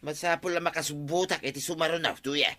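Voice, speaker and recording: A man's voice: on the high side, steady tone, quick pace, bright, forceful tone. A man with an Ilocano accent playing a boastful village gambler who teases his friend, in an Ilocano radio comedy drama.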